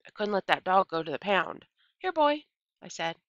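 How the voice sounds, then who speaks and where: A middle-aged woman with a lowish, bright voice reads a children's story aloud. She phrases it deliberately and with emphasis, as a teacher does. The recording is a bit dull and lo-fi. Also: no echo. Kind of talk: expressive read-aloud of a children's novel.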